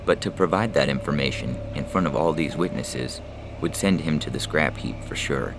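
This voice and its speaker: A man reading a scripted science fiction story as narrator, measured and dramatic in delivery. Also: a bass voice. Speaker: narrator, reader. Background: loud music.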